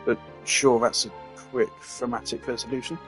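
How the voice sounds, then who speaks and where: A man's voice: medium pitch, flat delivery, normal speed, over music. A man giving casual playthrough commentary as a game streamer.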